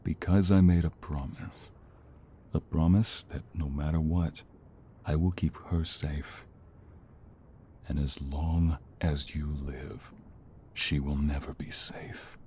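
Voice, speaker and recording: An actor playing a defiant, protective ex-mobster in an audio drama, dramatic and halting, full of determination. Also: male voice, very deep voice, fairly even intonation, darkish tone, slight background noise, exaggerated voice. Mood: grim vow. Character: defiant, protective male ex-mobster fighting for his love. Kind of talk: audio roleplay drama acting.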